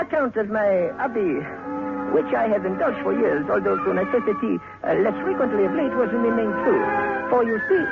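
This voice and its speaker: Creaky, high-voiced sinister little killer. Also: male voice, phone-quality sound, heavily acted. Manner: mannered, halting, with 'eh?' and sinister chuckles. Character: small sinister French murderer, suavely polite, gleefully mad. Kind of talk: old radio horror acting.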